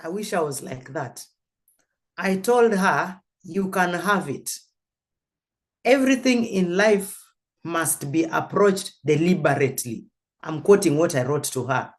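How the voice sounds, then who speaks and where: A woman's voice: lowish voice, animated, unhurried. A woman from Mombasa whose first language is Swahili, speaking on a church panel about family. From Mombasa, Kenya.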